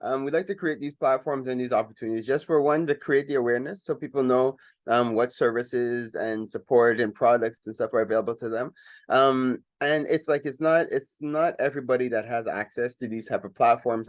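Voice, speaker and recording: A man's voice: mid-range voice, steady tone, brisk, no echo. Rambling male radio host, dry sound. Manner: rambling, many restarts and fillers. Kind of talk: casual radio interview.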